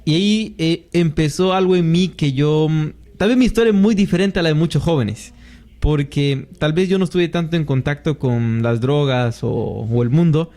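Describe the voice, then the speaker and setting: A man from Costa Rica, a guest on a faith interview, giving his personal testimony in a reflective way with pauses. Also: on the high side, warm tone. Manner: reflective, thoughtful storytelling with pauses.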